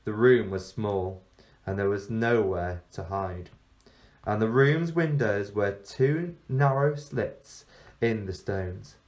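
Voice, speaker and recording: Very slow-paced man reading a storybook. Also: lowish voice, darkish tone. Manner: measured, deliberate pauses between phrases. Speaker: teacher reading a story aloud. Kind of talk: storybook narration.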